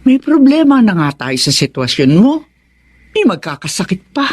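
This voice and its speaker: A woman acting a gentle, devout, worried middle-aged mother in a soothing, sorrowful radio drama style. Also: mid-range voice, very animated, normal speed.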